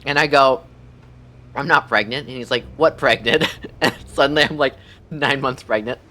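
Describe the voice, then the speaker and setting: A man doing casual, profane, jokey livestream commentary while playing a game, thinking out loud. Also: medium pitch, moderate pace.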